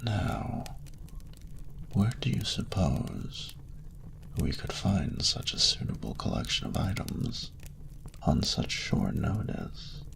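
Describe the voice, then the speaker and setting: A man voicing a proud, flirtatious male dragon in an intimate romantic audio roleplay, with a gravelly, half-whispered rumble and formal, grandiose, unhurried phrasing. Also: sing-song, a bit bright, full character voice. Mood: teasing, stately.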